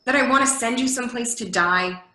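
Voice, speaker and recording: An actress plays a worried, practical adult daughter in a stage drama. Her voice is sharp and fairly high, pleading and insistent with exasperation, recorded in an echoey room. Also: fairly quick. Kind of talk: virtual readers theater acting. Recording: roomy, echoey video call audio.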